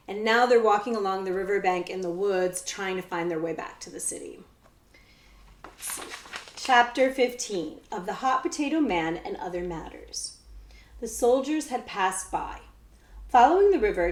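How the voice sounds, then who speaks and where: Woman reading aloud in an echoey room. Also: medium pitch. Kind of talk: children's storytelling with casual explanations.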